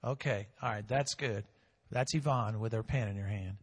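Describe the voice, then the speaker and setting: A male pastor preaching a sermon with call-and-response, swinging between loud and hushed, drawing out key phrases slowly. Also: on the low side, husky, big-room sound.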